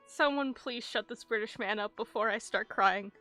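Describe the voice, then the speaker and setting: A woman streaming a game, with a slightly high, bright and forceful voice. She talks casually and expressively, reacting informally as she plays. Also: moderate pace, faint music behind.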